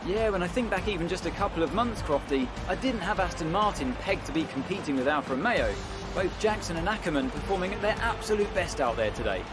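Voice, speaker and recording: An actor playing an analytical motor racing co-commentator in a video game, conversational and appreciative. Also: male voice, sits high, animated, fast, over loud music.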